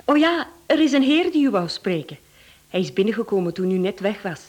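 A female secretary in a radio drama, speaking with a Flemish accent at a medium pitch. She is courteous and obliging, chatting deferentially to her employer. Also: sing-song, a little fast, darkish tone. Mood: polite, informative. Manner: polite, obliging. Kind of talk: radio play acting.

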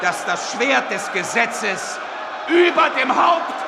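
An actor playing a fiery revolutionary orator in a radio play, declaiming forcefully and rising to shouts. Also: male voice, extremely high pitch, sing-song, slow pace, gravelly, very noisy, exaggerated voice. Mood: rousing, thunderous. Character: fiery male revolutionary orator rousing an assembly. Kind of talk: radio play political speech. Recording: echoey hall, loud roaring crowd.

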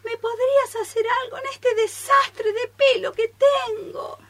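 A woman's voice: extremely high pitch, steady tone, a little slow, fairly bright tone. A woman playing a distraught salon client with ruined hair in an improvised radio play. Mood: pleading, tearful.

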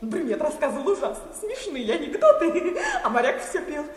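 Very high-pitched, gushing young lady. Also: very animated, normal speed, a little mellow. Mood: gushing, amused. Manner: gushing, theatrical, laughing chatter. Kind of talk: classic comic radio play acting. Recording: echoey hall reverb.

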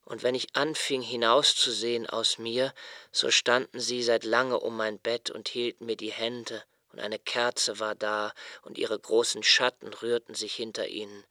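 An actor playing an introspective, haunted man who recalls his childhood in a literary radio play, with measured, precise phrasing and deliberate pauses. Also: mid-range voice, flat delivery, bright, forceful tone, no echo, clean studio sound. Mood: distressed. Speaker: radio drama narrator. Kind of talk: literary first-person radio play narration.

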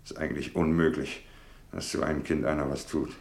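An actor in a German radio play voicing an anxious, tender middle-aged father, speaking in a halting, earnest, pensive way. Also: a bass voice, flat delivery, creaky, echoey room. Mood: pensive, regretful.

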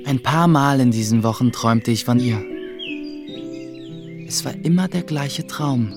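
Reflective young apprentice, over music. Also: male voice, medium pitch, unhurried, gravelly. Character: young male mill apprentice, thoughtful and guarded storyteller. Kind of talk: scripted first-person narration in a German radio play. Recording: music behind the voice.

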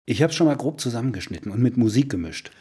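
A man's voice: medium pitch, lively intonation, fast talker, heavy background noise, lightly acted. A man playing an eager, wry radio journalist with a German accent, arguing and slipping into lecturing in a conversational radio drama. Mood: wry enthusiasm. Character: eager male radio journalist pitching an absurd idea to his colleague. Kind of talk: conversational radio feature acting.

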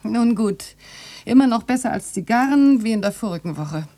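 A woman's voice: fairly high pitch, lively intonation, normal speed, dark tone. A woman playing a middle-aged, composed, sceptical yet indulgent mother in a German literary radio play. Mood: mildly stern.

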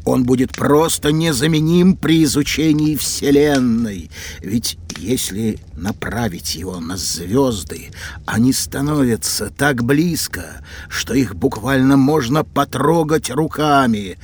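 A slow, proud Renaissance inventor. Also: male voice, medium pitch, expressive, a little mellow, husky. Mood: inspired. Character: proud, absorbed Italian scientist and inventor of the 1600s. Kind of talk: acted children's educational audio drama.

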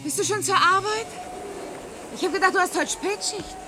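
An actress playing an exhausted, pleading wife in a German science-fiction radio drama, speaking with weary, emotional reproach. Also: female voice, very high-pitched, normal speed, background noise. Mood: pleading, irritated. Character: exhausted, pleading young wife in a dystopian future.

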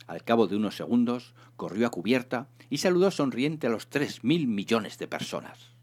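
A man narrating a humorous science fiction novel in an expressive, dramatic storytelling manner. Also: medium pitch, normal speed. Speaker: audiobook reader, narrator.

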